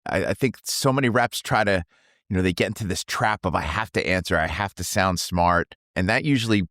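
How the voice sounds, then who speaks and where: A man's voice: deep voice, a little fast, a bit bright, no room sound, studio quality. A man co-hosting a sales podcast, talking in an emphatic, conversational way, with a slight cold. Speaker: co-host, sales trainer. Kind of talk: casual podcast chat.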